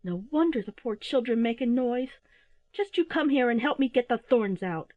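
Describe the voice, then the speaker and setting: A fussy, scolding old mother owl from a storybook, played by a woman reader. She speaks in sharp exclamations, with lively swoops in pitch. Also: moderate pace, no echo, phone-quality sound. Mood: indignant.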